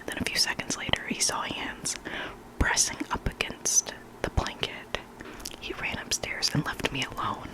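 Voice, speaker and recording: Hushed ASMR whisperer reading creepy stories. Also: moderate pace, poor audio. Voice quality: breathy unvoiced whisper.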